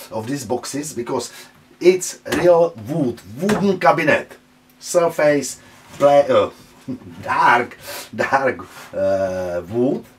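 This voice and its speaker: Slow halting man with a Czech accent. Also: medium pitch. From Czech Republic. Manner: halting, searching for English words, many "uh" fillers. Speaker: channel host. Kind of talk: casual hands-on speaker demo. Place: room with speakers on a table.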